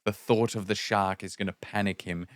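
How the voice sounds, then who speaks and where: A man's voice: lowish voice, fairly even intonation, normal speed, no room sound, clean studio sound. An Australian man co-hosting a podcast, bantering casually and playfully acting out what he describes. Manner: playful, acts out lines in put-on voices. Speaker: podcast co-host.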